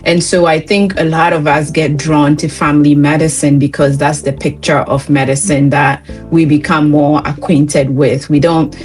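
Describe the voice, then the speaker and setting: A woman's voice: low-pitched, little pitch movement, moderate pace, hardly any pauses, darkish tone, faint music behind. A woman from Ghana hosting an interview, encouraging and chatty, guiding her guest with questions. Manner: encouraging, chatty, laughs lightly. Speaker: interview host. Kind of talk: conversational interview.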